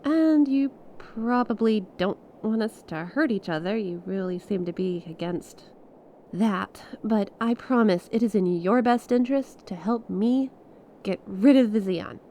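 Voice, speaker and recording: A woman playing an earnest, awestruck stranded space pilot in an ASMR audio roleplay, speaking expressively and haltingly. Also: mid-range voice, slight background noise, natural voice. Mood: uneasy, persuading. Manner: expressive, halting, conversational, with small laughs and breathy exclamations. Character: stranded young female star scout pilot, awestruck and earnest.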